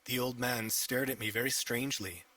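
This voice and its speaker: A man reading a children's audiobook as a cheeky, excitable young boy, with animated, emphatic delivery. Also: medium pitch, moderate pace, no echo, far from the mic. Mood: amused excitement. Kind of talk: first-person children's audiobook storytelling.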